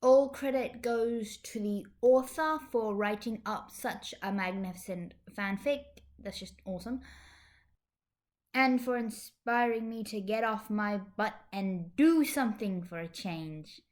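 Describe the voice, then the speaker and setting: A woman's voice: medium pitch, unhurried, a little mellow. A woman narrating fan fiction expressively as a podfic reader.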